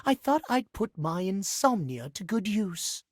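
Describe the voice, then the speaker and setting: A man voicing a fussy, genteel clock gentleman in a video game, with prim, formal, old-fashioned diction. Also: high voice, very animated, unhurried, no room sound, clean studio sound, exaggerated voice. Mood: polite, tender. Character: fussy, genteel, punctual clock gentleman doting on pocket watches. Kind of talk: video game character acting.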